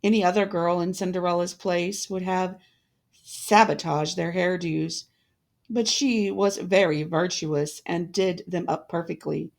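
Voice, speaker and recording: Slow, creaky-voiced woman storyteller. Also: lowish voice, steady tone. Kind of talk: scripted fairytale narration.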